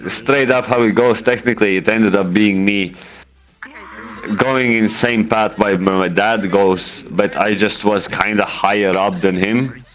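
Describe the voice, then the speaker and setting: A young man with a Latvian accent tells a personal story in casual chat, halting as he searches for words and swearing freely. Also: lowish voice, fairly even intonation, fairly bright tone, low-quality recording.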